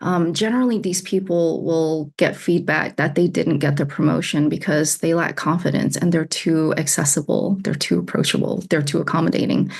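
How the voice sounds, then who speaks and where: A woman's voice: low-pitched, steady tone, moderate pace, gravelly, hardly any pauses, slightly rough. A woman from Alabama working as an executive coach, answering interview questions in a candid, chatty way with frequent laughs. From United States (Alabama). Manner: candid, laughs often, repeats words.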